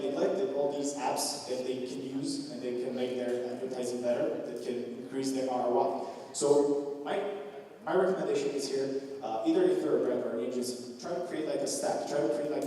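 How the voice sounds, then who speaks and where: A man's voice: medium pitch, fairly even intonation, normal speed, mellow. A young man from Romania giving a conference talk, loose and full of fillers and restarts. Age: young adult. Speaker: conference speaker. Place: large conference hall. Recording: echoey hall recorded from a distance.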